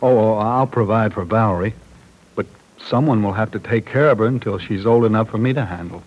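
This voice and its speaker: A man's voice: fairly low pitch, a little fast, darkish tone, close to an everyday voice, low-quality recording. Man playing a weary, considerate radio drama father. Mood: serious, considerate. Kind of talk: 1940s radio soap opera acting.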